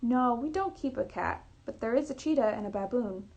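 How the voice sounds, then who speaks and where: An earnest young lady from a storybook, acted out by a woman. She is polite and emphatic, with a lifted pitch over a creaky grain. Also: animated, normal speed, a little mellow, muffled recording. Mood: helpful. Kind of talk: storybook reading.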